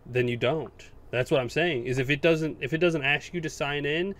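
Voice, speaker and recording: A man co-hosting a gaming podcast, chatting casually in an animated, sweary way. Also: a little high, normal speed, a crowd in the background. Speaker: podcast co-host.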